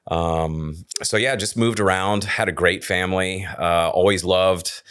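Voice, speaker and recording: A middle-aged American man, a novelist and podcast guest, telling stories about his life in a relaxed, rambling way with frequent restarts. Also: low voice, measured pace, smooth, no echo, clean studio sound. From United States. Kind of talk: casual conversational storytelling.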